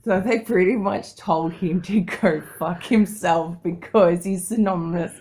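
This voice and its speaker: A woman's voice: lowish voice, measured pace, darkish tone. A woman co-hosting a geek podcast, chatting in an informal and opinionated way. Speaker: podcast co-host. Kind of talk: casual geek podcast chat.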